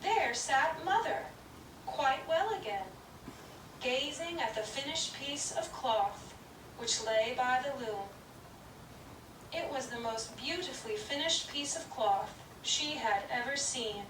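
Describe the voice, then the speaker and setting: A woman storyteller narrating a puppet show story in a gentle, measured reading. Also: high-pitched, lively intonation. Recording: distant, roomy, noisy room.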